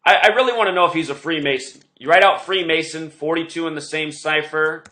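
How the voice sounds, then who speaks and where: A man's voice: on the high side, brisk, bright, forceful tone. A man hosting an online show, speaking in a rambling, insistent monologue with emphatic delivery. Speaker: online video host.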